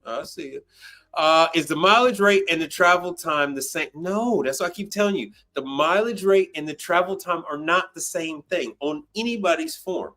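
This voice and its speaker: A man's voice: on the high side, moderate pace, bright, forceful tone. A man in his fifties hosting an unscripted livestream, talking bluntly and emphatically, repeating phrases and swearing freely. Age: 50s. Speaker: livestream host, commercial appliance service technician. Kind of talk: unscripted livestream talk answering viewer questions.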